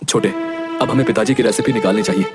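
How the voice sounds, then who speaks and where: A young man voicing a calm, sensible older brother in a Hindi cartoon, speaking reasonably. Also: lowish voice, fast, natural voice. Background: background music.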